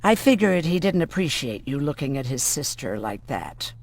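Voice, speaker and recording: A hardened, sardonic woman doctor in a game drama. Her voice is low and very expressive, with blunt, biting lines. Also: moderate pace, full character voice. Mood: wary. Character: hardened, sardonic female doctor and camp survivor. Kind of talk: game voice acting, dramatic dialogue.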